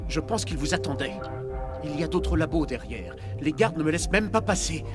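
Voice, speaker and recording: A man's voice: fairly high pitch, animated, brisk, close to an everyday voice. A man playing an earnest lab doctor in a dubbed video game. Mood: worried, helpful. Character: earnest, grateful male doctor in a research lab. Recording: music behind the voice.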